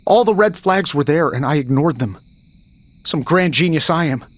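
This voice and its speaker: An actor playing a proud, guilt-ridden genius superhero in a video game, sarcastic, emphatic and hard on himself. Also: male voice, on the high side, animated, normal speed. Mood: bitter self-blame. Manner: sarcastic, self-reproaching, emphatic. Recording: muffled game audio under music.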